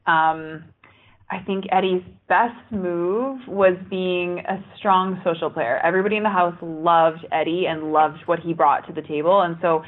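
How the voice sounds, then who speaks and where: On a narrow phone connection, a woman with a slightly low voice answers interview questions off the cuff. She talks as a former reality show contestant. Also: fairly even intonation, unhurried. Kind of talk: spontaneous interview talk. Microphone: phone call. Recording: phone line, band-limited.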